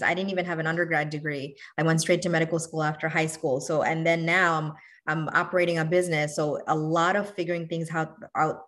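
A woman from Bangladesh, a doctor guest on a podcast, talking in a candid, casual and upbeat way. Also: on the low side. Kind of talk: podcast interview.

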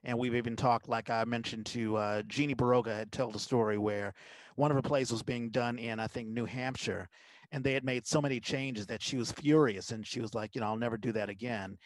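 Guy interviewer, close dry mic, average pitch, casual podcast host talk. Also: steady tone, brisk, no echo, low-quality recording.

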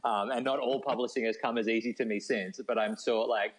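A man's voice: mid-range voice, fairly even intonation, fairly quick, poor audio. An Australian man answering as an interview guest in an informal, rambling way, peppering his talk with filler words. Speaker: novelist guest. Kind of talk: casual chatty interview answers.

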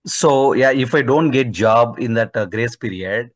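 A man calling in for advice, asking spontaneous questions in a tentative, halting way. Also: mid-range voice, no echo. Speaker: caller seeking immigration advice.

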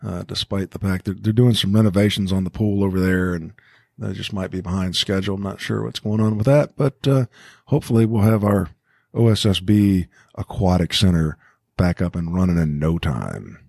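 A man's voice: low voice, moderate pace, gravelly, darkish tone, no echo, studio quality. A man hosting a casual school podcast, encouraging his co-hosts and prompting them with questions. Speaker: podcast host.

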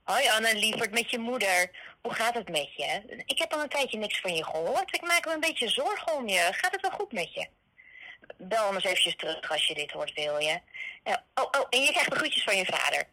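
A woman's voice: medium pitch, sing-song, normal speed, bright, forceful tone, heavily acted, rough recording. An actress playing a caring, middle-aged mother who frets over her daughter in an audio drama voicemail. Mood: concerned, affectionate.

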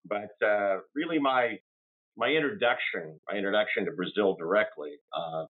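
Older male interview guest, low voice, hesitant delivery, phone-quality audio. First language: English. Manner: halting, many restarts and fillers. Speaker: guest labor lawyer. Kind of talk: interview answer. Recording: tinny phone-like line.

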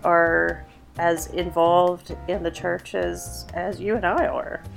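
A woman co-hosting a podcast in casual chat, raspy and half losing her voice from a cold, laughing often. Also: fairly low pitch, flat delivery, slow pace, soft background music. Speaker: podcast co-host.

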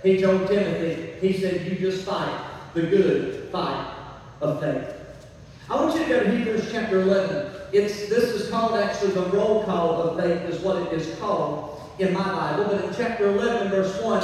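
High-voiced pastor in an echoing hall. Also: male voice, moderate pace, darkish tone. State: mild laryngitis, weakened voice. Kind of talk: church sermon. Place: church, speaking to a congregation. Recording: very echoey hall, distant mic, faint noise.

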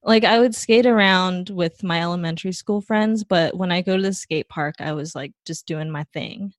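A young woman from Taiwan speaks in a fairly low voice. She talks in a loose, rambling way, filling gaps with plenty of "like" and "um". The sound is close and dry, with no room echo at all. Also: moderate pace. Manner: relaxed, rambling, many fillers. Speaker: guest. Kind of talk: casual conversation.

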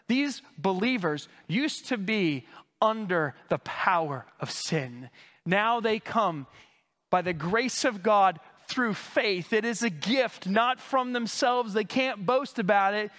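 A male pastor teaching from the pulpit with a high voice, unpacking Greek words in a relaxed, conversational manner. He keeps coughing as he recovers from a lost voice, and his words ring out with a long reverb through the church sanctuary. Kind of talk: expository sermon.

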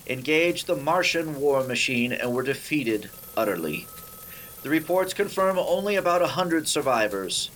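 A man playing a solemn 1930s radio newsreader, delivering his bulletin gravely with weighty pauses. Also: fairly high pitch, fairly bright tone, a little hiss or hum, low-quality recording. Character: grave, solemn male radio news announcer. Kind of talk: 1930s radio news acting, spoofed.

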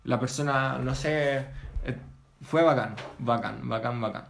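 A young Italian man with an Italian accent, chatting casually in a second language, pausing and searching for words as he tells his story. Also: medium pitch, gravelly, a little hiss or hum. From Italy. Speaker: guest. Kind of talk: casual chat.

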